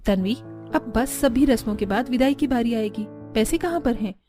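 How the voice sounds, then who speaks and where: A woman's voice: fairly high pitch, moderate pace, over music, lightly acted. A woman playing female relatives in a cartoon family drama, with a melodramatic manner. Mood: reproachful. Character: women of a cartoon family, sisters and elders. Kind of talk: Hindi cartoon story dialogue.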